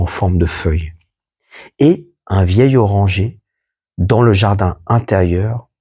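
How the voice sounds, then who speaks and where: Male host, low husky voice, slow measured poetic reading with asides. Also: darkish tone. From France. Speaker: podcast host. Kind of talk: reading a poetic first-person text aloud.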